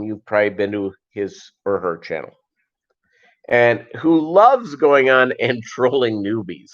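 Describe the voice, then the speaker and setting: Deep-voiced man rambling on a lo-fi livestream. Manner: rambling, conversational, halting. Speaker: livestream host. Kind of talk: casual livestream chat answering viewers. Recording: dull, lo-fi livestream audio.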